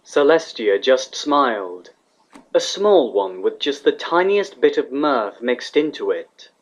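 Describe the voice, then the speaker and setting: A man's voice: medium pitch, very animated, slow. A man reading scripted fiction as the narrator of a dramatic story. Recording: slightly dull, lo-fi recording.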